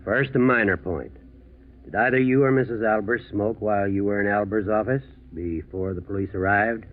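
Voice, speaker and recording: An American actor playing a methodical, shrewd district attorney in a 1940s radio drama, probing in a deceptively casual way, on a tinny old recording. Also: male voice, fairly low pitch, normal speed, natural voice. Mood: casually probing.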